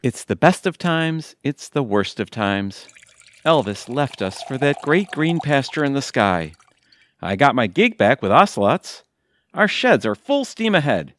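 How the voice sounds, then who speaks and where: An actor playing an impulsive, exasperated middle-aged husband with a theatrical comic manner in an audio theatre comedy. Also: male voice, mid-range voice, sing-song, unhurried, a bit bright, a little hiss or hum. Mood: wry, dramatic.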